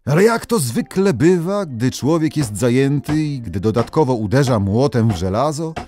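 A man narrating folk legends in an expressive, theatrical storytelling manner. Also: medium pitch, normal speed.

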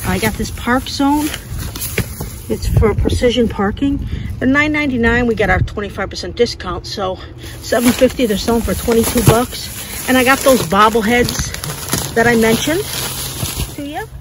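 A woman's voice: a little high, normal speed. A woman chatting casually about her thrift-store finds while filming on a handheld phone. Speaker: thrift reseller vlogger. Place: thrift stores, partly a car. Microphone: handheld phone camera. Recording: phone audio with clear store background noise.

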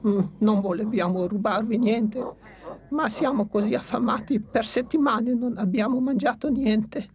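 A woman voicing an old, wise male dinosaur inventor in a cartoon, speaking calmly and gently. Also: fairly high pitch, unhurried. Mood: gentle. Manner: calm, reasoning, gentle.

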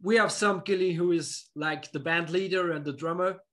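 Relaxed man on a video call. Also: sits high, normal speed. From Austria. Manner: relaxed, simple phrasing, non-native English. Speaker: musician guest. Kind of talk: casual interview chat. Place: home room with pictures on the wall.

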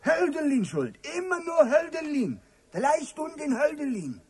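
An actor playing an excitable servant who speaks in broken, clipped phrases. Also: male voice, extremely high pitch, a little slow, full character voice. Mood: excited.

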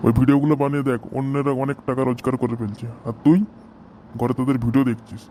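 Man acting a fast-talking, grumbling Bengali village dad. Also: medium pitch, steady tone, background noise, natural voice. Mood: angry scolding. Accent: West Bengal Bengali. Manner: grumbling, scolding, heavy cursing. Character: hot-tempered, foul-mouthed village father scolding his lazy son. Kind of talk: amateur comedy cartoon dialogue.

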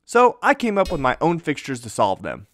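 A man's voice: a little high, wildly swooping pitch, normal speed. Plainspoken man demoing shop tools. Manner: direct, plainspoken, enthusiastic about his tools. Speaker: tool maker demonstrating his products. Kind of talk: hands-on product demonstration. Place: metal fabrication shop at a fixture table.

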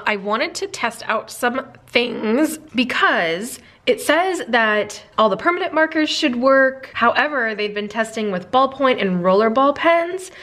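A woman's voice: fairly high pitch, fairly bright tone. A young woman with a slightly stuffed-up voice talks bubbly and chatty, full of likes and ums. She sits at a desk indoors under an overhead camera, testing pens in a casual planner vlog. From Minnesota, USA. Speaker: planner sticker vlogger. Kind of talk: casual chat.

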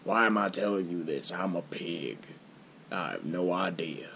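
A man voicing a glum, absent-minded pig robot from a game, halting and deadpan. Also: low-pitched, gravelly, a little hiss or hum, pitched below the performer's own voice, exaggerated voice. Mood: glum, rambling.

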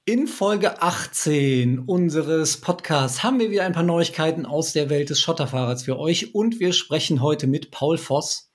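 A man in his thirties hosts a casual podcast, joking easily with his guests. His voice is a little husky, and it sits somewhat high for a man. He talks into a headset on a video call. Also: measured pace, few pauses. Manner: jokey, laughs often, reads sponsor spots. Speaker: podcast host.

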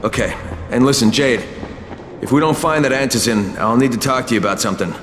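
An actor playing a guarded, blunt young undercover agent with an American accent in a video game cutscene. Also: male voice, medium pitch, fairly quick, fairly bright tone, close to an everyday voice. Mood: guarded, determined. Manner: blunt, foul-mouthed, guarded. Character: gruff, defiant undercover male agent, young adult. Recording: faint background noise.

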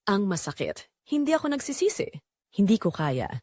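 A woman's voice: mid-range voice, big pitch swings, normal speed, darkish tone, no room sound, clean studio sound. A woman playing a guilt-torn wife and mother confessing a secret in an intimate, dramatic audio drama narration, with a Filipino accent. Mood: guilty, yearning.

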